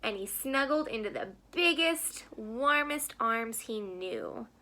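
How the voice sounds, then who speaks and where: A woman reading a picture book aloud to children in an expressive storytelling style. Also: fairly high pitch, slow pace. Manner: asks the young listeners questions. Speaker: storybook reader.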